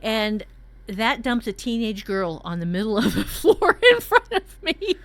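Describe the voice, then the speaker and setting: A woman's voice: mid-range voice, big pitch swings, measured pace. A woman co-hosting a writing workshop in casual brainstorming chat, teasing and prompting with questions. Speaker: workshop co-host and writer.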